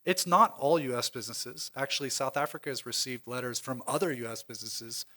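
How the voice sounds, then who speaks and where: American guy on a panel, talks it through out loud, lots of um and i mean. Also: mid-range voice, fairly quick. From United States. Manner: thinking aloud, many restarts and fillers. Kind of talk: conference panel discussion. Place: lecture hall at a conference.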